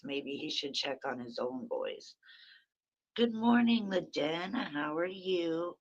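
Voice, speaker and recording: A woman with a very raspy voice, hosting a rambling, chatty early-morning livestream from her phone and talking to viewers by name. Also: low voice, dull audio. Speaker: livestream host.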